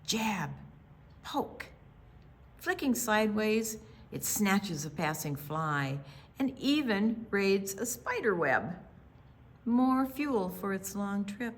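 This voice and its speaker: American lady doing storytime read aloud, unhurried, hushed bits and big dramatic emphasis, a bit of noise behind. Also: mid-range voice, lively intonation. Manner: dramatic pauses, hushed asides, emphatic delivery for young children. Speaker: children's storytime reader at a library.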